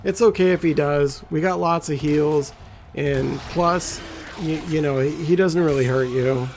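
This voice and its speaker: A man with a scratchy, gravelly voice giving laid-back, rambling commentary as a gameplay guide. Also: on the high side, measured pace, darkish tone. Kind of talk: casual walkthrough commentary.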